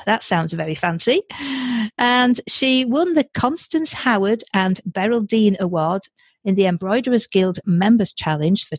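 A woman's voice: medium pitch, darkish tone, no room sound. A woman with a Yorkshire accent hosting a light-hearted podcast interview in a chatty, exclamatory way. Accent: Yorkshire English. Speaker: podcast host.

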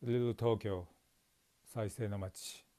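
A man's voice: on the low side, fairly even intonation, a little slow, mellow, no room sound. A middle-aged man, an actor, giving a dramatic reading of a short story indoors. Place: indoors in a small curtained space.

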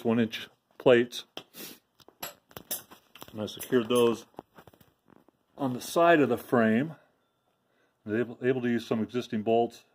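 A man with a lowish voice talks through a home project in his garage, filming on a handheld phone. He speaks plainly and without a script, restarting sentences and filling pauses with "uh" as he goes. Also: darkish tone. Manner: plain, unhurried, with many restarts and "uh"s. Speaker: DIY video maker. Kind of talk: casual show-and-tell walkthrough. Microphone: handheld phone camera, speaker off screen.

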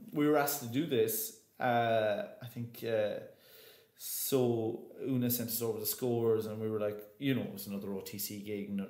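Chatty man in a roomy echo. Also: mid-range voice. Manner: chatty, many restarts and fillers. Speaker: guest, opera singer. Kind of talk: casual interview talk.

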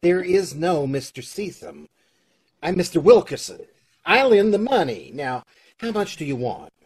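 A man playing a husky, flustered loan officer. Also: fairly high pitch, very animated, unhurried, full character voice. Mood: exasperated. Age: middle-aged. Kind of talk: old-time radio comedy acting. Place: indoors, on a video call. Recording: home video-call recording.

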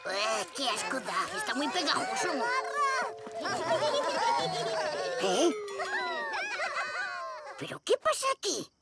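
High, boastful little boy. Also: sing-song, unhurried, gravelly, over a noisy crowd, exaggerated voice. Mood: disgusted. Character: boastful, theatrical young boy hero. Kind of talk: cartoon dub acting. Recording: loud music underneath.

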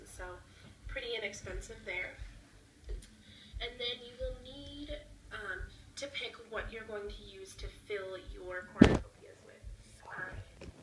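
A woman's voice: medium pitch, far from the mic, background noise. A woman with a slight cold hosting a craft tutorial, giving friendly step-by-step instructions with fillers, heard replayed through a tablet speaker. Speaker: craft channel host. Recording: tablet speaker replayed with room echo and music.

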